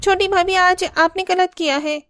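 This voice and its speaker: A woman's voice: extremely high pitch, steady tone, normal speed, a bit bright. A woman voicing an outspoken young sister-in-law, a student, in a cartoon story, pert and critical. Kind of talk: Hindi cartoon story dubbing.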